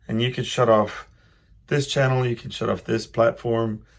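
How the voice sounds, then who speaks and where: A middle-aged man hosting a solo podcast, reflecting casually and haltingly as he thinks aloud in a loud voice. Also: medium pitch, fairly even intonation, creaky. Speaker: podcast host.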